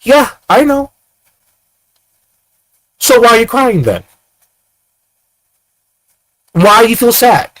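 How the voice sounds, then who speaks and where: A man's voice: extremely high pitch, big pitch swings, slow pace, long pauses, a bit bright, dry recording. A man in his thirties giving ranting commentary, firing off repeated rhetorical questions. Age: 30s. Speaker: commentator reacting to clips.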